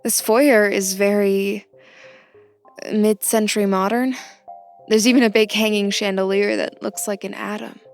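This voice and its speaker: A woman's voice: mid-range voice, fairly even intonation, a little slow, creaky, faint music behind. A woman playing a curious, wary young newcomer in an audio drama, conversational and inquisitive as she explores. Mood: curious, impressed. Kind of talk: audio fiction podcast acting.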